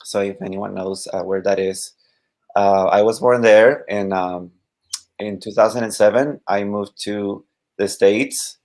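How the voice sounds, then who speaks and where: A Costa Rican man in his thirties talks about teaching Spanish on a casual live stream. His voice sits fairly low. He speaks haltingly, with plenty of fillers and restarts. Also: steady tone, a little mellow. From Costa Rica.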